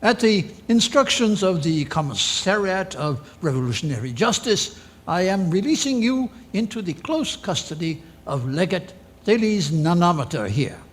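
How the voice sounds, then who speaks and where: An actor playing a pompous, officious revolutionary port inspector in a stiff, formal manner in radio theatre. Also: male voice, high voice, big pitch swings, moderate pace. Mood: curt, stern.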